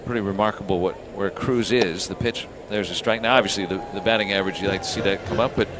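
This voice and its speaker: A man's voice: fairly low pitch, fairly even intonation, fast, noisy background. A man calling live baseball play-by-play on the radio as the announcer.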